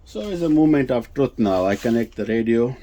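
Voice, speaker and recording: A man giving an unscripted technical demonstration as a presenter, speaking in a halting way and circling back over his phrases. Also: mid-range voice, lively intonation, mellow. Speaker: vintage radio reviewer and presenter.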